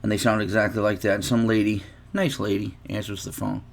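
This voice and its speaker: Gravelly man telling a rambling story. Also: on the low side, flat delivery, fairly quick. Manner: chatty, rambling anecdote with asides and restarts. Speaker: guitar reviewer. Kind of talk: casual unboxing vlog storytelling.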